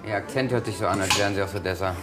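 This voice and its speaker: Man playing a fast, curt barkeeper. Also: deep voice, over loud music, natural voice. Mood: curt, curious. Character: curt, probing Russian-speaking barkeeper, a man. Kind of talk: naturalistic TV crime drama acting.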